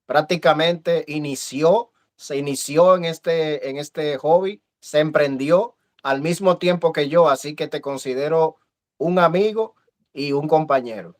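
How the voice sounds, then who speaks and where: A man's voice: on the high side, unhurried. A man hosting a live video-call interview, friendly and hesitant, with many fillers. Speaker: host. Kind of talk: casual live-stream interview.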